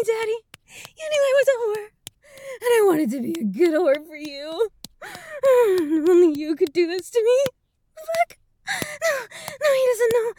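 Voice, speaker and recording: A woman's voice: very high voice, slight background noise, exaggerated voice. A woman playing an overwrought, conflicted adult bride in an explicit audio roleplay, with breathless, moaning delivery. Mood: anguished, pleading.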